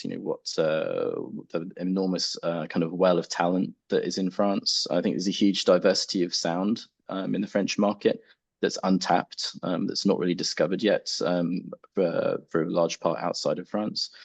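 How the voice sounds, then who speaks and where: A man from England with an English accent introducing himself on a panel, polite and hesitant with many pauses. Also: low voice, steady tone, creaky, slightly rough, no room sound. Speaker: panelist, music export professional. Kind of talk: conference panel talk.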